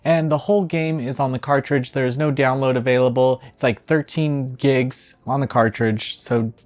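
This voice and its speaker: Casual gaming news host, mid-pitched voice, conversational delivery with a sarcastic, exasperated edge. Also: fairly even intonation, normal speed, a little mellow. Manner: sarcastic, exasperated asides with swearing. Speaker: host of a video game news show. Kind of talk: casual video news commentary.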